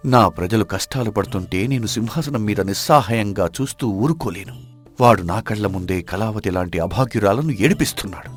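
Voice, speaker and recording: Very deep-voiced, solemn, righteous king played by a man. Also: lively intonation, a little fast, soft background music, close to an everyday voice. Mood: pleading, troubled. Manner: formal, solemn, respectful. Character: righteous, earnest king devoted to his people. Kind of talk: Telugu audio folk tale acting.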